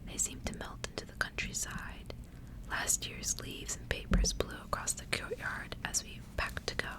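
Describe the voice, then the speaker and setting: Female, full whisper, breathy, ASMR storybook reading, gentle phrased narration. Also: low-quality recording. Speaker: ASMR reader.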